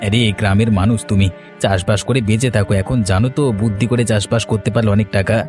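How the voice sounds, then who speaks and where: A man's voice: lowish voice, fairly even intonation, fast, lightly acted. A man voicing a chatty, familiar village neighbour in a cartoon story. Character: chatty, gossiping village elder-brother type. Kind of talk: Bengali children's cartoon story dialogue. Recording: music playing under the voice.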